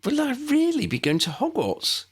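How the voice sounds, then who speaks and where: A man voicing an excited, cheeky young witch, a little girl with a squeaky, eager, playful voice in a storybook. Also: normal speed, exaggerated voice.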